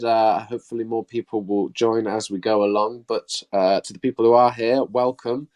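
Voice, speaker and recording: A man's voice: lowish voice, a little mellow. A man with a cold and a blocked-up nose, hosting a live Q&A in a loose, apologetic way with many fillers. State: congested with a cold. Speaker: host.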